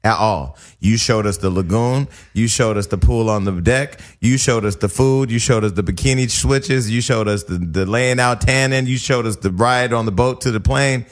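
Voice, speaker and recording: A man's voice: medium pitch, normal speed, few pauses. A man hosting a casual studio talk show, teasing his co-hosts and keeping them on track. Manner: teasing, bossy moderator. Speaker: podcast host. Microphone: studio mic.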